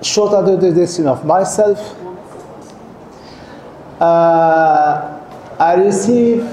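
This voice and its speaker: A man's voice: a little high, dark tone. A middle-aged man, giving a seminar lecture to students as a guest professor, often stopping to check in with the audience and restarting phrases. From Algeria. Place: lecture hall. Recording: echoey lecture hall with faint noise.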